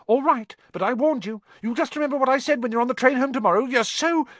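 A man voicing a bossy, indignant young schoolgirl with a shrill, scolding manner in an audiobook. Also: big pitch swings, fairly quick, sharp tone, no echo, full character voice. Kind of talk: audiobook character voice.

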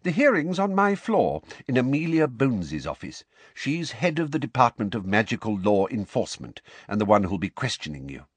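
A kindly, enthusiastic middle-aged wizard father, voiced by a man narrating an audiobook. He speaks brightly and encouragingly, noticeably higher than the narrator's own voice and with a lively lilt. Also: moderate pace, slightly rough, no room sound. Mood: bracing. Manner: bracing, enthusiastic. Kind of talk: audiobook character voice.

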